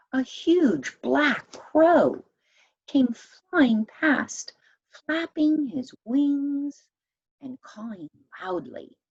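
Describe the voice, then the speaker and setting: A woman reading a children's story aloud as an expressive storyteller. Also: fairly high pitch, slightly rough, muffled recording.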